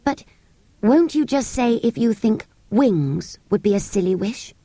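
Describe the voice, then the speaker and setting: A woman's voice: a little high, animated, a little slow, warm tone, no room sound, pitched above the performer's own voice. A woman voicing an earnest, polite young girl in a storybook reading, pleading and apologetic. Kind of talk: audiobook character voice.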